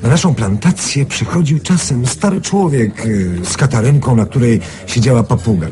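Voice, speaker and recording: Actor as a lively, boastful young businessman. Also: medium pitch, moderate pace, close to an everyday voice. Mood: modest, amused. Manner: chuckling, storytelling, animated. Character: affable, boastful young businessman with a lucky ring. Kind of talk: radio drama acting. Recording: radio play with murmuring crowd behind.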